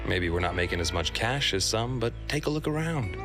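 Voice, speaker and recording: An actor playing an easygoing veteran off-road racing champion in a video game, plainspoken and unhurried in a folksy way. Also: male voice, fairly low pitch, lively intonation. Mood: reflective. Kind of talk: video game cutscene acting. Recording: loud music behind the voice.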